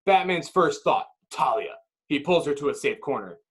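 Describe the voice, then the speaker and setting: A man's voice: fairly high pitch, big pitch swings, moderate pace. A man narrating a screenplay's action with intense, clipped dramatic phrasing. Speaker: narrator reading stage directions.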